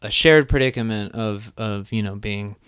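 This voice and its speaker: Smooth-voiced man hosting a podcast. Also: fairly low pitch, fairly bright tone, dry recording, clean studio sound. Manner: rambling questions, quick affirmations. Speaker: podcast host. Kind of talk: casual interview chat.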